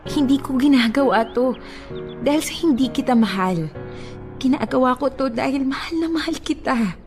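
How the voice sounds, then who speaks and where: A woman's voice: high-pitched, lively intonation, normal speed, music in the background. An actress playing a heartfelt, pleading woman in an emotional radio love drama. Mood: anguished, pleading. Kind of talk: Filipino radio drama acting.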